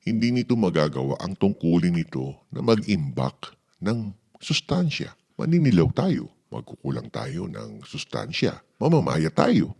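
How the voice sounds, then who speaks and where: Man as a deep, gravelly Filipino storybook father. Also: huge pitch swings, measured pace, a little mellow, exaggerated voice. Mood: explaining, warning. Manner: patient, instructive. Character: reassuring father explaining illness to his son in a storybook.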